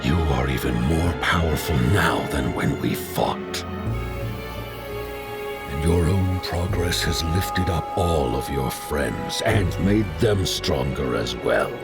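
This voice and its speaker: Grave weary general, rumbling bass, gravelly, formal, regal, game dialogue. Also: male voice, lively intonation, over loud music, exaggerated voice. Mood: grave, resolute. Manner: slow, formal, deliberate. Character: solemn, weary male general facing his end, grave and regal. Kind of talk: video game cutscene acting.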